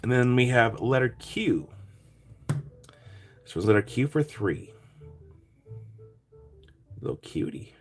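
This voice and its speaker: A man with a raspy voice, chatting casually as he sells rocks on a live stream and thanks buyers by name. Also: mid-range voice, lively intonation, slow pace, long pauses, soft background music. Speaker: live stream rock seller. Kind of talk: casual live sale chat.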